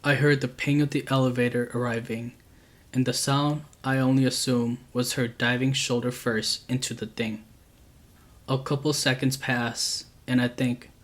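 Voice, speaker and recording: Flat-voiced male story narrator. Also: medium pitch. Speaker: storyteller, channel host. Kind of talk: reading true scary stories aloud.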